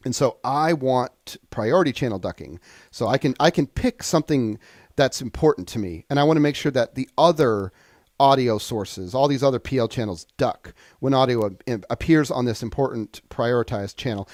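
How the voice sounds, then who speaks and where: Male software instructor, medium pitch, unscripted and explanatory, halting delivery, very dry close sound. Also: animated, dry recording. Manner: halting, thinking aloud, explanatory. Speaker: software trainer. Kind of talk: unscripted screen-share tutorial.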